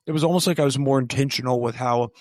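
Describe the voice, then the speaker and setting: A man's voice: mid-range voice, fast, darkish tone, no echo. Easygoing man in his thirties, dry mic. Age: 30s. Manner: easygoing, filler-heavy, laughs along. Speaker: podcast co-host. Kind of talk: casual chat. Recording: dry close sound.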